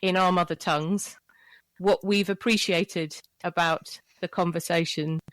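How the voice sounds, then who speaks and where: Smooth, even-toned podcast host woman. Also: fairly low pitch, no echo, poor audio. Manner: thoughtful, measured, with many restarts. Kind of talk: reflective interview talk.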